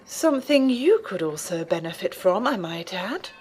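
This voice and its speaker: A woman playing a serene, devout warrior nun, polite, formal and gently superior, in an audio drama. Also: medium pitch, big pitch swings, moderate pace, slight background noise, lightly acted. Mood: dry, composed.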